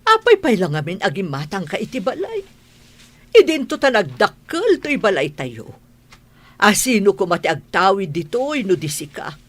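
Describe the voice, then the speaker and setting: An indignant, bossy mother in a radio drama. Her voice is husky and swoops widely in pitch. She scolds emphatically in an Ilocano accent. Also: very animated, moderate pace. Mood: reproachful. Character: domineering, indignant mother of a grown son.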